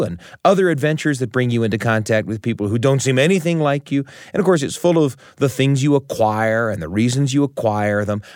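American guy hosting radio, lively up and down delivery, musing, pauses a lot, dry close studio sound. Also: medium pitch, no echo. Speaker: radio show host. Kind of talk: reflective hosting and conversation.

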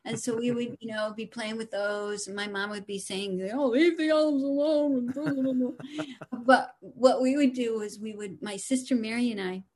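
A woman guest storyteller sharing casual personal anecdotes in an animated, humorous way from her home. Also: fairly high pitch, moderate pace.

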